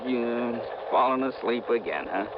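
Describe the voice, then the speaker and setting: An actor playing an exasperated but loyal American police official in an old radio drama, insistent and plain-spoken. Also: male voice, mid-range voice, unhurried, natural voice. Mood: wry, relenting. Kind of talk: 1950s radio drama acting. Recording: dull, noisy vintage radio recording.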